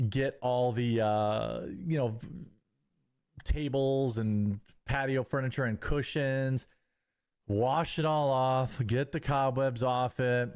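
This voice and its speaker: Relaxed, hesitant man chatting. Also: medium pitch, clean tone. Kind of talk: casual chat.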